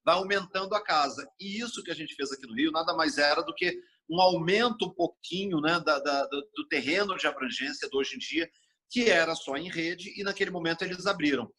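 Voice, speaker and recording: A middle-aged man from Brasília, a TV journalist telling stories in a casual interview, expansive and animated, acting out quoted speech. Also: fairly high pitch, a little fast, husky. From Brasília, Brazil.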